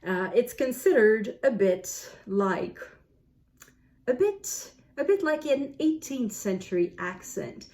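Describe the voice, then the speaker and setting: Mellow-voiced French Canadian woman musing. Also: on the high side, lively intonation. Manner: thoughtful, unhurried, musing aloud. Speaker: book vlogger. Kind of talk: casual talk to camera.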